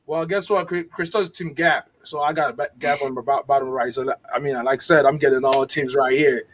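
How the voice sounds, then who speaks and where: A man's voice: medium pitch, fairly even intonation, a little fast, a little hiss or hum. A man hosting a casual video-call interview, chatty and upbeat with self-deprecating jokes. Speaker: host.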